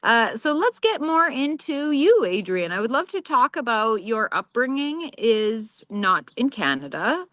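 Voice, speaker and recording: A woman's voice: on the high side, very animated, measured pace, hardly any pauses, a bit bright, no echo. A woman interviewing a guest in a bubbly, chatty way, laughing often. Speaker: magazine interviewer. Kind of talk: casual interview chat.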